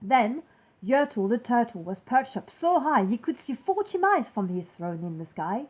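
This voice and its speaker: A woman reads a children's rhyming story aloud. Her voice sits a little high and moves up and down a great deal, giving the narration an expressive, storytelling shape. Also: normal speed, husky, rough recording. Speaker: story-time reader for children.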